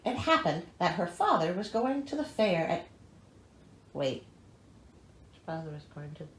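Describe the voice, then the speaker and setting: Animated, higher-pitched sardonic woman storyteller. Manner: sardonic, theatrical, incredulous. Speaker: host reading a fairy tale with commentary. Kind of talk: storybook reading with sarcastic comic asides. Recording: echoey, roomy sound.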